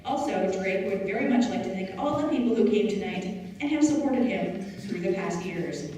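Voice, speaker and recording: A woman's voice: mid-range voice, lively intonation, moderate pace, dark tone. A young woman giggling as she reads out an introduction of a performer. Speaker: presenter. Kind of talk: read-out introduction. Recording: echoey hall from far off.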